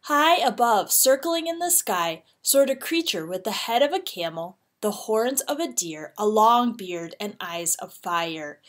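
A woman narrating a children's storybook expressively, with deliberate, dramatic phrasing. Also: mid-range voice, lively intonation. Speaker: read-aloud teacher.

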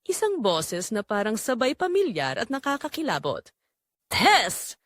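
A woman's voice: on the high side, wildly swooping pitch, moderate pace, no room sound. A woman narrates a first-person thriller in a suspenseful, emotive style. Her intonation moves widely, and the recording is dry with no room sound. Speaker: audio drama narrator.

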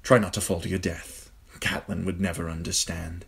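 A man's voice: low voice, flat delivery, fairly quick, creaky, fairly bright tone, husky, full character voice. A man voicing a sly, glib male nobleman who teases in a sardonic way. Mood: mocking. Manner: teasing, sardonic.

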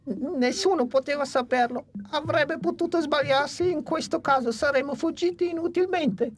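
A woman's voice: high voice, normal speed, a little hiss or hum, natural voice. A woman voicing a young, cautious and fretful flying dinosaur in a dubbed cartoon. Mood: anxious. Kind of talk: Italian cartoon dub.

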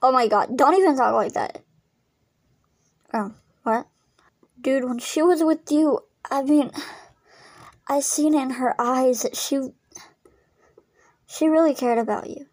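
A blunt, caring young friend in an amateur story drama, voiced by a woman. The speech is slangy and emphatic, in a somewhat high voice. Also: measured pace, pauses often. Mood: concerned, earnest.

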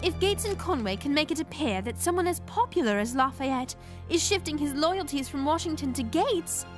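A spirited young reporter. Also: female voice, sits high, animated, normal speed, over music. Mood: determined.

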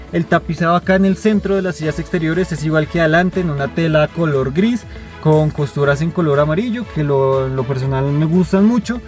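A man's voice: fairly high pitch, a little mellow. A young adult man with a Colombian accent, giving a casual, conversational car review to camera and explaining as he goes. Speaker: car reviewer, video host. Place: inside a car and outdoors by a road.

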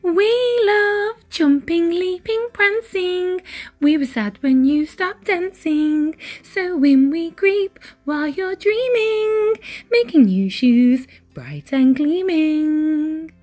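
A woman's voice: very high-pitched, slow pace, a little mellow, soft background music, voice pushed up. A woman voicing tiny cheerful elves in a children's storybook, in a lilting, rhythmic sing-song.

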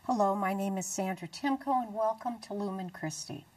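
A middle-aged American woman presenting a religious talk show in an earnest, deliberate way. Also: mid-range voice, a little mellow, recorded from a distance. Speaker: TV show host. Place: small dark TV studio, indoors.

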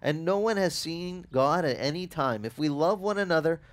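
A man's voice: a little high, moderate pace. A man in his forties, a pastor who grew up speaking Italian, teaching a casual sermon outdoors on a beach with a headset mic, asking his listeners questions as he goes. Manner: animated, conversational, asks the listeners questions.

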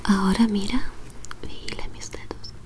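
A woman gives soft step-by-step instructions as an examiner in an ASMR role play. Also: mid-range voice, fairly even intonation, moderate pace. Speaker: ASMR performer playing a medical examiner.

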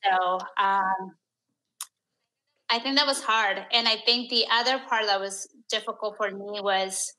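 Bright-voiced reflective woman from Peru. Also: medium pitch, unhurried, low-quality recording. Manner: reflective, searching, many "like" fillers. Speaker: podcast guest. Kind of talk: candid interview conversation.

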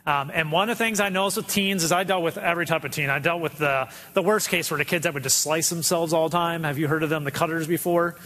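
A man's voice: fairly high pitch, fairly even intonation, fast, few pauses, bright, forceful tone. A man preaching a sermon as a pastor, earnest and direct, driving points home with repetition. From Detroit area. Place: church sanctuary. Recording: long hall reverb.

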